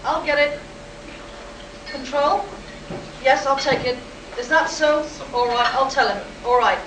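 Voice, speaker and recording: A woman's voice: high voice, unhurried. A woman in a live amateur radio play, playing a dutiful, concerned control room assistant giving reports. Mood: businesslike. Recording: distant, echoey live hall recording with noise.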